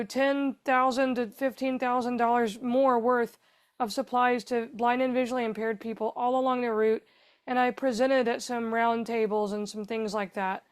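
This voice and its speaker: A woman's voice: a little high, clean tone. A woman guest on a podcast interview, telling her story in a chatty, rambling way with lots of fillers. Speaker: guest, foundation founder.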